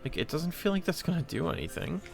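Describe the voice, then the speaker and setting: A man's voice: a little high, big pitch swings, fast talker, creaky, music in the background. A man hosting a game playthrough with casual commentary, hesitant and rambling as he thinks aloud. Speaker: host of a gameplay channel.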